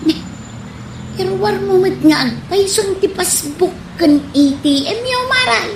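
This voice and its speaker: Very high female voice, echoey sound, faint noise, actress playing wary nagging friend, Ilocano accent, comedy radio drama. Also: expressive, a little slow, heavily acted. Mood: puzzled, wary. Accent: Ilocano, from the Philippines. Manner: suspicious, complaining. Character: wary, nagging woman friend who distrusts strangers.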